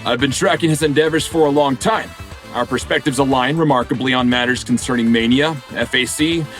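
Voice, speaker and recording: An actor playing a smooth-talking politician who gives interviews and campaign speeches in a game. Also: male voice, mid-range voice, a little fast, fairly bright tone, soft background music, lightly acted. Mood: composed. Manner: rousing, oratorical. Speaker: election candidate. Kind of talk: game cutscene voice acting.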